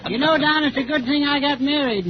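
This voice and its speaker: A man's voice: fairly even intonation, brisk. A man playing a cheeky, scatterbrained auntie in a folksy, ungrammatical 1930s radio comedy act. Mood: cheeky deadpan. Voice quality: high-pitched. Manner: folksy, ungrammatical, mock-indignant. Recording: scratchy, noisy, muffled old radio recording.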